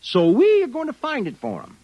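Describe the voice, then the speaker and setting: An actor playing a wisecracking, hard-boiled private detective in a 1950s radio drama, with sardonic tough-guy talk and a husky voice. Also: very high voice, wildly swooping pitch, brisk, highs cut off, very noisy, full character voice. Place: radio studio.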